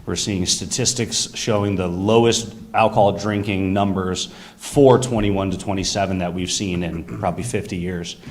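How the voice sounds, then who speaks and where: A young man with a loud voice pitching his new business to a city council in an eager, detailed way. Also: fairly even intonation, normal speed, few pauses. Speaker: business owner presenting to a council. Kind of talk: public comment at a meeting. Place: council chamber.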